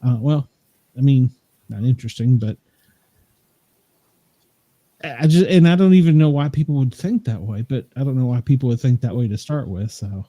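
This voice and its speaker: A man's voice: medium pitch, normal speed, pauses often, mellow, dry recording. A man in his fifties co-hosting a podcast, rambling in casual chat and laughing at himself. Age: 50s. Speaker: podcast co-host.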